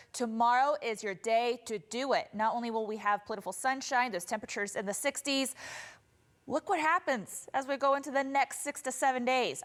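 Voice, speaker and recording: An American woman working as a TV meteorologist, giving an ad-libbed forecast in a chatty, upbeat presenter style. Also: fairly high pitch. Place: TV studio.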